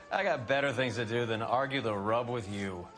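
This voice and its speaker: A man's voice: mid-range voice, fairly bright tone, slight background noise, full character voice. A man playing a contemptuous, corrupt policeman in a crime game, sardonic and dismissive. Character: sneering, contemptuous crooked male cop. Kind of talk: cinematic crime game voice acting.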